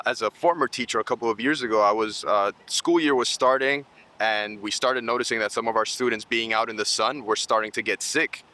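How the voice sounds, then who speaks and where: A man's voice: on the low side, bright, forceful tone, poor audio. A man in his thirties from Colombia, raised in Miami, giving earnest, explanatory answers in a conversational interview. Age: 30s. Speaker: nonprofit program manager and interviewee.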